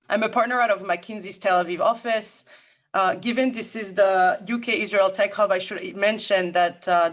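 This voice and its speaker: A woman from Paris with a French accent, a guest consultant presenting formally and in a measured, articulate way to an audience. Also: medium pitch. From France, grew up in Paris. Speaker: guest speaker, management consultant. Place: remote video-call talk.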